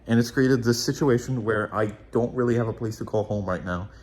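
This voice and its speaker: A man's voice: medium pitch, a little fast, a little mellow. Young man with slight Polish accent. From Poland. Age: young adult. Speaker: vlogger. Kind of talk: casual video journal monologue. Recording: echoey room, faint ambience.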